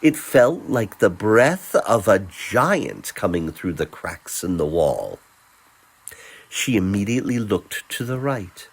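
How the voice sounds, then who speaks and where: A man narrating a fantasy romance as a storyteller, in a tender, theatrical manner with dramatic pauses. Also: on the low side, very animated, slightly rough, low-quality recording.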